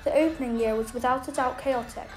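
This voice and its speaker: A woman's voice: high-pitched, fast talker. A woman reading a scripted documentary narration as the narrator of a video. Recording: roomy echo, faint background noise.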